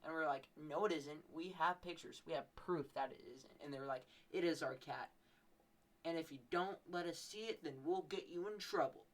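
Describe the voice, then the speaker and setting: A man's voice: fairly high pitch, normal speed. A young man hosting a podcast, telling a story casually in a rambling, playfully exaggerated way. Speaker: podcast host.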